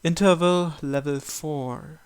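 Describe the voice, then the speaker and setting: A man reading a visual novel's story text aloud as narration, in a measured, deliberate way. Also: fairly high pitch, fairly even intonation, clean tone. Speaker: video game player reading the script aloud.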